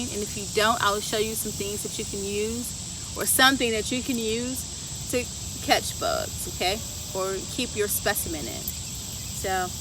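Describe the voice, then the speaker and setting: An upbeat woman leads a kids' lesson outdoors in a garden, her voice a touch high and keen to draw the children in. She sounds a little distant from the camera mic, with crickets and insects buzzing clearly behind her. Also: noisy background. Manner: upbeat, talks straight to young viewers with questions. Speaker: children's story time presenter. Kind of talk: reading aloud and teaching kids.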